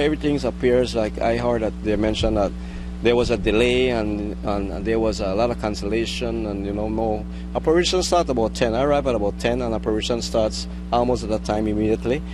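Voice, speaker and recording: A middle-aged man with a Belizean accent giving an unscripted news interview, speaking haltingly and rephrasing as he goes. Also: fairly low pitch, background noise. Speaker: air traffic controller interviewee.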